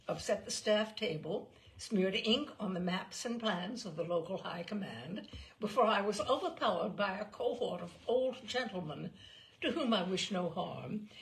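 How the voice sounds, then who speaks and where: A woman reading literary stories aloud as a storyteller, in a dramatised narration style. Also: medium pitch. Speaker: storyteller, reader of literary selections.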